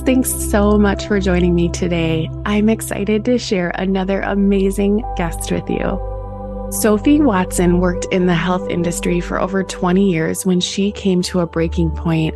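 Bubbly Wisconsin woman hosting a podcast. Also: on the low side. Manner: bubbly, laughs often. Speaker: podcast host. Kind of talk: upbeat podcast interview.

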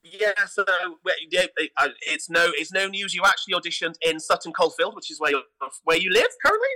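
A British man with a very bright, forceful voice that sits a little high for a man. He talks with enthusiasm, in the conversational way of an interview guest explaining his work. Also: sing-song, a little fast, rough recording. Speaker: guest, artistic director of a pantomime theatre company.

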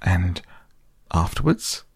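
A man voicing a small, anxious male piglet in a storybook, husky and hesitant. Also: low-pitched, sing-song, slow pace, no echo, clean studio sound, full character voice. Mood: nervous. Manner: timid, hesitant.

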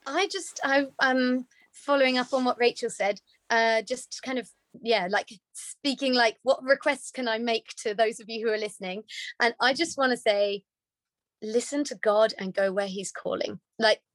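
A woman's voice: on the high side, normal speed, a bit bright, dry recording. A woman on a podcast speaking in an earnest, encouraging way with many fillers. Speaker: podcast guest. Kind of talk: casual podcast conversation.

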